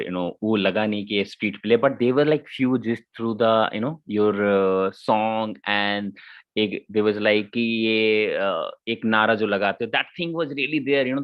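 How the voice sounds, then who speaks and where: A man with an Indian accent speaks casually and haltingly, giving feedback in loose phrases. His voice is on the low side. The recording is dry and somewhat muffled, like an online call. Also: no room sound. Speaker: judge giving feedback on student plays.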